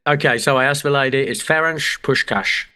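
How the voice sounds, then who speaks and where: A man's voice: mid-range voice, moderate pace, bright, forceful tone, clear, resonant tone. An English man co-hosting a podcast, chatting casually with a joking, mock-serious manner and casual swearing. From England. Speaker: podcast co-host. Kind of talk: casual chat.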